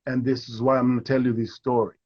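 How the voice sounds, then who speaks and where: A man's voice: mid-range voice, quick pace, husky, lo-fi sound. A middle-aged man from Kenya, an actor, telling stories in an interview and acting out the voices of people he quotes. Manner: animated storyteller who acts out quoted voices. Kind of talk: storytelling in a live interview.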